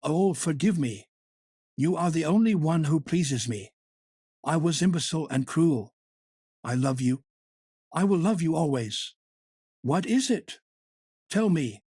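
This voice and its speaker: A man voicing a smooth, self-assured gentleman lover in an audiobook, his speech suave and calm. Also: a little high, normal speed, few pauses, dry recording, clean studio sound, pitched above the performer's own voice. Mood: ardent. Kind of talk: audiobook character reading.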